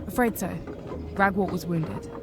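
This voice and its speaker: A woman's voice: lowish voice, a little slow, loud music behind the voice. A woman voicing an earnest young female rabbit apprentice healer in a fantasy game, polite and restrained. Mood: earnest, worried. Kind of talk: visual novel voice acting.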